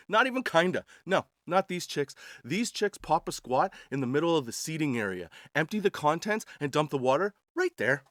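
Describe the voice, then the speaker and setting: A man's voice: on the high side, big pitch swings, moderate pace, a bit bright, dry recording, studio quality. A man telling casual comedic stories in a slangy, crude, sarcastic way. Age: 40s. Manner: slangy, crude, sarcastic, addressing listeners as dude. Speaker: podcast host telling travel stories.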